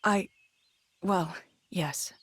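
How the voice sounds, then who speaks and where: A woman's voice: fairly low pitch, drawn-out words, husky, dry recording, full character voice. Tentative young mercenary woman. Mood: hesitant. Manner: casual, tentative.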